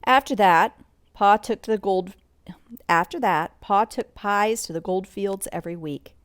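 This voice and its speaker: A woman reading a children's bedtime story aloud in an expressive read-along style, sometimes commenting as she goes. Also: medium pitch, unhurried, no echo, clean studio sound. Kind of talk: bedtime story read-along narration with asides about pictures.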